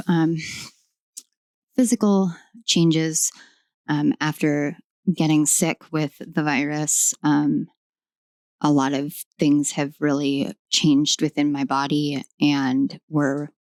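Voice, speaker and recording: A young woman with a hoarse, raw voice that sits on the lower side, speaking close and dry at home. She rambles fondly through a tarot reading, filling pauses with ums. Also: flat delivery, darkish tone, no room sound. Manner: rambling, affectionate, many ums, talks to her pets. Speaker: tarot reader. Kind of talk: casual tarot reading to camera. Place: at home in a room.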